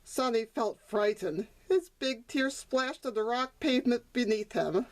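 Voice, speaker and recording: A woman reading a children's story aloud as its author, in expressive scripted narration. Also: on the high side, a little slow.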